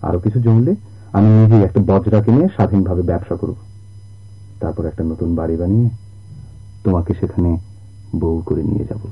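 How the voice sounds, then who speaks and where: A low male voice gives us a jealous, earnest young sailor. The delivery is poetic and theatrical, in the manner of radio drama. The sound is narrow and tinny, like an old broadcast recording. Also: fairly quick, long pauses, slightly rough. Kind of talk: Bengali radio drama acting.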